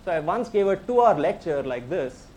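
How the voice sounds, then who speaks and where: A man's voice: fairly high pitch, big pitch swings, normal speed, a little mellow, slight background noise. A man with an Indian accent, a professor giving a technical tutorial, explaining step by step in a conversational way and inviting questions. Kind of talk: technical lecture.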